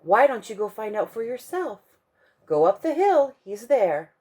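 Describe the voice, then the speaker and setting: A carefree little wooden doll girl from a storybook, chirping along with a high, sunny lilt, as a woman puts her on. She talks in a bright, breezy way, bouncing between notes. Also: sing-song, unhurried, husky, heavily acted.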